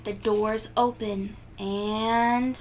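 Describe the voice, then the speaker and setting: A woman's voice: mid-range voice, a little hiss or hum. A woman reading a storybook expressively to children, drawing out words emphatically and chatting to the listeners. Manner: animated, emphatic, drawn-out words, gasps and sound effects. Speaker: children's read-aloud host.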